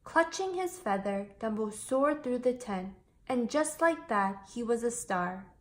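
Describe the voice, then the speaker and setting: A woman reading a children's picture book aloud in an expressive storytelling style. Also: a little high, unhurried.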